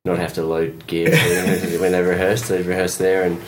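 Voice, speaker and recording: A man's voice: sits low, monotone, normal speed, a little hiss or hum. A man from Australia, partly raised in England, casually recounting memories as an interview guest. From born in Perth, Australia, partly raised in England. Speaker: interview guest, touring drummer.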